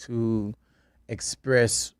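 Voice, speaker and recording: A man's voice: mid-range voice, little pitch movement, drawn-out words, clean tone, no room sound. A South African man in his late thirties, a gospel singer and pastor, talking thoughtfully in a radio studio interview, restarting phrases and laughing easily. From South Africa, Durban. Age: 30s.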